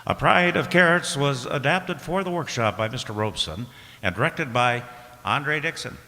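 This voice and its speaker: A man announcing closing credits for a radio play, informal and halting. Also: mid-range voice, lively intonation. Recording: echoey hall, loud noise, audience sounds.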